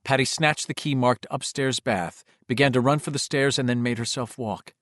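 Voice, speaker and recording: A man narrates a horror audiobook in a mid-pitched voice, recorded close and dry. His reading is dramatic and suspenseful, with expressive shifts in intensity. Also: fairly quick, no room sound.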